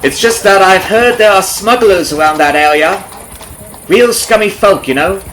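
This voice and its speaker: A man's voice: sits high, normal speed, fairly bright tone. A man playing a nosy, falsely friendly substitute carriage driver in an ASMR roleplay, rambling folksily to the listener with sly undertones. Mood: slyly probing. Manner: folksy, rambling, nosy, hesitant with mock-innocent asides. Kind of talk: ASMR roleplay audio drama, addressing the listener. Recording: noisy, horse and carriage ambience behind. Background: clip-clopping horse, carriage ambience.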